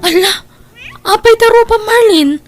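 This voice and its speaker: A woman's voice: very high voice, a little slow, faint music behind. A woman with an Ilocano accent playing a worried, reproachful mother in an audio drama, fretful and admonishing.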